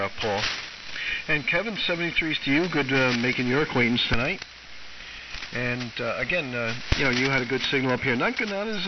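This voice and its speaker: A middle-aged or older American man chatting casually as a ham radio operator over AM radio, halting and often repeating himself. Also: mid-range voice. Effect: AM shortwave radio. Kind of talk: casual rambling radio chat. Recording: muffled, very noisy AM shortwave radio, distant. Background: loud static and radio noise.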